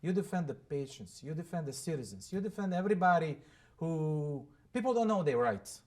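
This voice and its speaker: A male podcast host speaks in a somewhat high voice. He is enthusiastic and emphatic and repeats phrases to drive home his points. He introduces the guest and questions him. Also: very animated, brisk, a little mellow. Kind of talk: podcast interview hosting.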